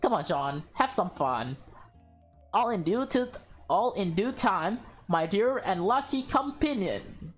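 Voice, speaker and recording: A woman voicing an excitable, bossy, fashion-loving girl in a visual novel, with a teasing, theatrical manner. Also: mid-range voice, slow, faint music behind. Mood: playful, excited.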